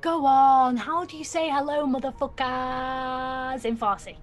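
Actress as slow-talking, mouthy nineteen-year-old girl. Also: high voice, steady tone, faint music behind. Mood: cheeky. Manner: slangy, blunt, petulant. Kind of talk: audio theatre acting.

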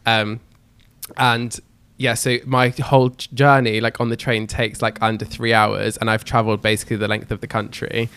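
A man's voice: medium pitch, level tone, normal speed, fairly bright tone, clear, resonant tone, no echo. A young man from northern England with a Northern English accent, hosting a casual chat. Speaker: host.

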